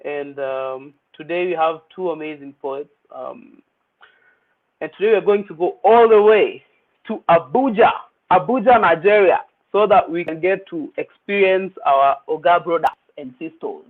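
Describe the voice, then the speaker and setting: A man's voice: a little high, measured pace. A young man hosting an online poetry open mic in a chatty, playful way, mixing English with Swahili slang. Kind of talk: casual livestream hosting. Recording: tinny phone-like audio.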